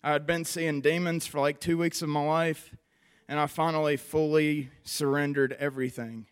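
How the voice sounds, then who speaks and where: A young man with a West Virginia accent shares his testimony before a church crowd. His voice sits a little high for a man, and he talks in an earnest, conversational way, often pausing with a soft "um.". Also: flat delivery, clean tone. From West Virginia, USA. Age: 20s. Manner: earnest, conversational, frequent "um" pauses. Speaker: recovery program member giving testimony. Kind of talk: personal testimony to a church audience.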